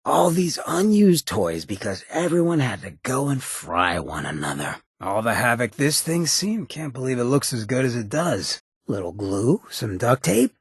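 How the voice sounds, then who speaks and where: An actor voicing a cocky, roguish, streetwise ghoul companion in a video game, with a very raspy, gravelly voice and a sly, laid-back swagger. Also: male voice, medium pitch, big pitch swings, normal speed, few pauses, dry recording, studio quality. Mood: wry musing.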